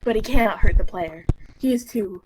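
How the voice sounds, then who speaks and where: A woman's voice: mid-range voice, moderate pace. A woman chatting casually and reading fanfiction aloud, with a playful, giggly, joking manner. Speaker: co-reader on a call. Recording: noisy online call with clicks.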